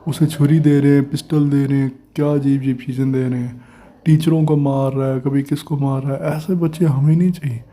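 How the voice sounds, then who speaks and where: A man's voice: medium pitch, fairly even intonation, a little fast, mellow, natural voice. A man voicing an indignant, lecturing village headman in an amateur cartoon. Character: indignant village headman scolding parents of a delinquent boy. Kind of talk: amateur cartoon voice acting in Urdu.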